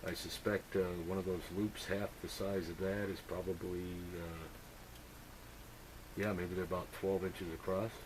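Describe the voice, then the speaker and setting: A man's voice: sits low, level tone, normal speed, very noisy. A man chatting casually and technically as an amateur radio operator on two-way radio, thinking aloud as he goes.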